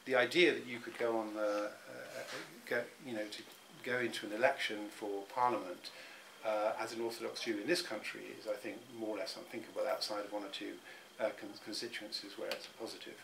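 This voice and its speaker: A man's voice: on the low side, little pitch movement, brisk, gravelly, fairly bright tone, low-quality recording. Middle-aged man, reflective interviewee, stop-start delivery, faint hum behind. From England. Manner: thoughtful, many restarts and repeated words.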